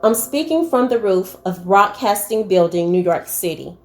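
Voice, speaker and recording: A woman playing a solemn news announcer in a radio drama, speaking in a measured, formal way. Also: medium pitch, lively intonation, darkish tone, natural voice. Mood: grave. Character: solemn rooftop news announcer during invasion. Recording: faintly noisy radio play ambience.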